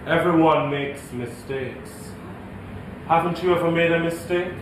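An actor on stage playing an earnest, yearning middle-aged man who speaks haltingly. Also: fairly high pitch, natural voice. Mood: apologetic. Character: hesitant, yearning middle-class Jamaican married man. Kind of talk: theatrical stage drama. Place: indoor theatre stage. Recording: echoey noisy theatre, distant mic.

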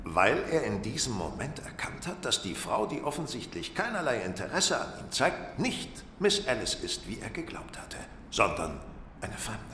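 An actor playing a keen, self-assured detective in a German radio play, speaking in deliberate, formal, precise sentences. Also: male voice, medium pitch, wildly swooping pitch, bright, forceful tone. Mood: assured, explanatory. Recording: roomy, distant, echoing, faint noise.

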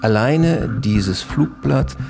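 A man's voice: medium pitch, lively intonation, slow, vocal fry. A man giving an explanatory account as a guest in a history podcast. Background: music.

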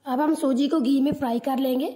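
A woman hosting a cooking channel, calmly giving step-by-step instructions in a tutorial voice-over. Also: high-pitched, fairly quick.

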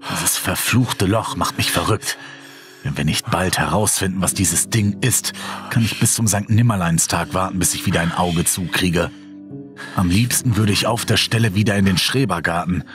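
A man's voice: sits low, normal speed, fairly bright tone, faint music behind. A man narrating a horror audio drama as a sleepless, brooding character, in a moody, dramatic way. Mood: restless, irritated. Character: sleepless, brooding man haunted by a mysterious hole.

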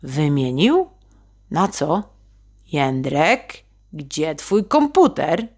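A woman voicing a shocked, exasperated father scolding in a children's story, with drawn-out exclamations. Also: deep voice, very animated, a little mellow, full character voice. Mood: dismayed, exasperated.

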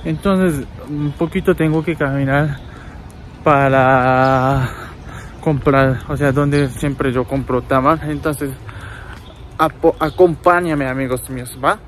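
A man's voice: mid-range voice, steady tone, slow. A young man from Japan, speaking with a Japanese accent, chats casually in a vlog, repeating words and calling his viewers friends. He films himself on a phone held at arm's length. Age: young adult. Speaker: vlogger. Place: city street outdoors, then indoors at a table. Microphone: wireless earbuds, phone at arm's length. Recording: street noise behind.

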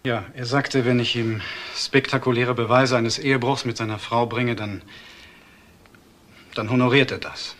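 An actor playing a hired schemer in a crime drama, explaining himself haltingly under police questioning. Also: male voice, mid-range voice, steady tone, fairly bright tone, slight background noise, close to an everyday voice. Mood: defensive.

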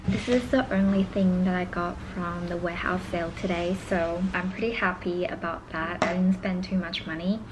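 A woman, chatting casually to camera as a vlogger at home. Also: fairly low pitch. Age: 20s. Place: indoors at home, living room or bedroom. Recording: noticeable background noise.

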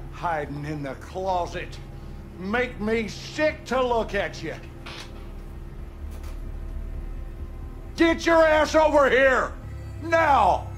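Cruel Southern father, high strained drawl. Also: heavily acted. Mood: menacing, furious. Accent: American South. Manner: menacing, bullying, contemptuous. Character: cruel, bigoted, abusive middle-aged father and husband. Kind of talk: dramatic video game cutscene acting.

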